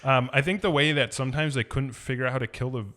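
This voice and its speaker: A man's voice: mid-range voice, steady tone, quick pace, fairly bright tone. A man chatting casually and giving opinions as a podcast co-host, informal and prone to swearing. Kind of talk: casual movie review chat.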